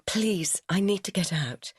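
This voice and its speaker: A woman's voice: medium pitch, measured pace, slightly rough, dry recording, studio quality. Woman voicing an anguished, polite young woman. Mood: anguished, pleading. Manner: earnest, polite, pleading. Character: anguished, respectable young English secretary in secret love affair.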